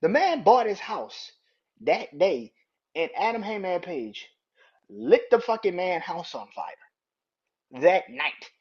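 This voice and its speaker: A man's voice: sits high, big pitch swings, takes it slowly, pauses often, darkish tone, slightly rough, lo-fi sound. A young man hosting a podcast, counting down a ranking in casual, profane talk, often laughing and getting worked up. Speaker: podcast host.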